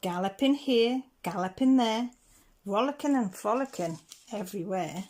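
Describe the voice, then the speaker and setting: A woman reading rhyming picture-book stories aloud in a measured, rhythmic way. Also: mid-range voice, animated, a little mellow. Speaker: children's story reader.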